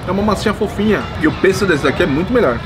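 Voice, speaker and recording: Fast-talking young Brazilian man tasting food. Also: high voice, animated, music in the background. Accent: Brazilian Portuguese. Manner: enthusiastic, slangy, describes food as he eats. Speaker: food vlogger host. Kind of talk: casual food review chat. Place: indoor restaurant booth.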